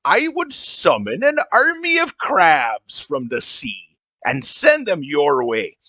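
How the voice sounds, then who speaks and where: A man's voice: on the high side, wildly swooping pitch, takes it slowly, pushed and bright, no echo, heavily acted. A man voicing a boastful pirate-like video game champion with growly pirate talk, in a comedy sketch. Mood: boastful, baffled.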